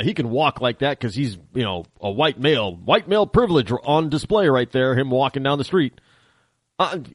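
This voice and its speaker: A man's voice: fairly high pitch, no room sound. A man hosting a political talk radio show from his home studio, wry and teasing, often stammering and restarting his sentences.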